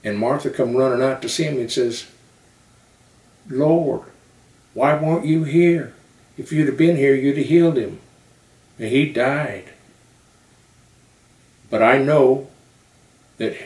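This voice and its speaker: A man's voice: mid-range voice, pitched above the performer's own voice, natural voice. A man voicing a fervent preacher who declaims a Bible story in a folksy way. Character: fervent male preacher retelling a Bible miracle. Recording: roomy echo, distant microphone.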